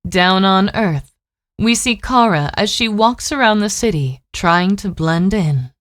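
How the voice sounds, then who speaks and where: A woman serves as narrator for an audio drama. She reads scripted scene descriptions in a voice of average pitch. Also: lively intonation, a little slow, slight background noise.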